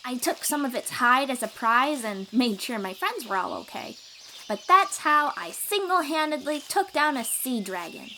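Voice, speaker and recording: A woman playing a cheerful, boastful young sea-dwelling warrior in an audio roleplay, eager and expressive as she talks to the listener. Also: sits high, moderate pace, slight background noise. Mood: dramatic, proud. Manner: eager, bragging, reassuring, expressive storyteller.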